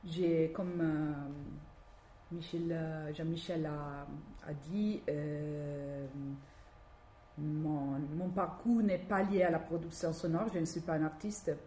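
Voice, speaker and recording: A woman from Italy speaking with a strong Italian accent, giving an academic talk and often pausing to search for words. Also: deep voice, fairly even intonation, mellow. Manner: halting, searching for words with many fillers. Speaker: guest lecturer. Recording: echoey room, faint background noise.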